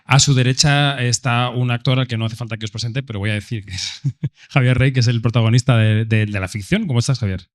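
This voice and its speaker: A man with a Castilian Spanish accent moderates a live panel. His voice sits at a middle pitch as he presents guests and asks questions. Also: fairly quick. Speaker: event host and moderator.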